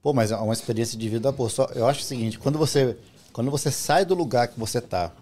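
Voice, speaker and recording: A man co-hosting a podcast, jumping in with excitable, slangy banter. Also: medium pitch, fast talker. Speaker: podcast co-host. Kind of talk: casual conversation.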